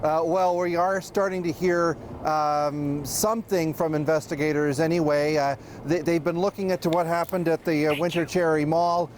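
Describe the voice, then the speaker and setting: A man with a notably high voice reports live as a news correspondent. He speaks off the cuff and haltingly, with many hesitations and restarts. Faint noise sits behind him. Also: steady tone.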